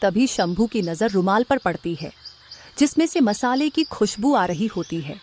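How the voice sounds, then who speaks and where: A woman's voice: medium pitch, expressive, moderate pace, a little mellow. A woman reading scripted narration for a cartoon story, with faint noise in the background.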